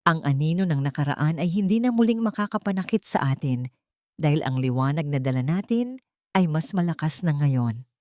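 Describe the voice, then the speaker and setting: A woman's voice: low-pitched, lively intonation, normal speed, a little mellow, no echo, studio quality. A woman voicing a devoted, repentant husband in an audio drama, earnest and emotional. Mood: resolute, loving. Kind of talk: dramatic audio story dialogue.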